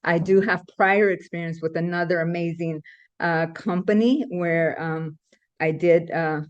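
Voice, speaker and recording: Woman from Ecuador, quiet and hesitant, casual team intro over a muffled video call. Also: on the low side, fairly even intonation, unhurried. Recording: dull lo-fi video call audio.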